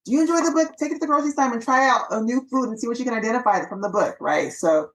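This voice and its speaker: Woman doctor guest, chatty and quick, upbeat, from los angeles. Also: on the high side. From Los Angeles, USA. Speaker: physician and author guest. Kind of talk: casual interview chat.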